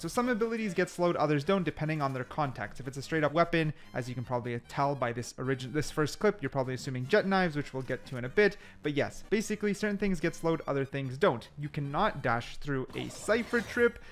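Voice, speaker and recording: A man's voice: fairly high pitch, expressive, a little fast, hardly any pauses. A man giving casual, chatty explainer commentary over gameplay, talking the viewer through it step by step. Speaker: gaming video host.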